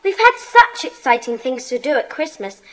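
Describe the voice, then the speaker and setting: A chirpy young schoolgirl in a children's audio play. Her voice is high and lively, with a keen, practical way of talking. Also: normal speed, rough recording. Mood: keen, cheerful. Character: keen, helpful young schoolgirl in a secret club.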